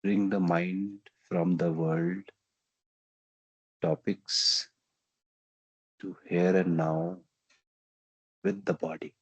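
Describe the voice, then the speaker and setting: Very slow, deep-voiced Indian man guiding meditation. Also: expressive, gravelly, breathy edge, dry recording, highs cut off. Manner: gentle, unhurried pauses, simple repeated phrases. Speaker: meditation guide. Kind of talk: guided meditation, slow calming instruction.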